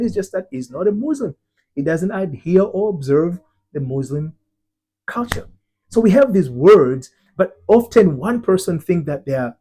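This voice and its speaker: Husky Tanzanian-born coach, earnest teaching. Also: male voice, sits high, darkish tone. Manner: earnest, repeats words and restarts, stresses single words. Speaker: identity transformation coach. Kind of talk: teaching talk to camera.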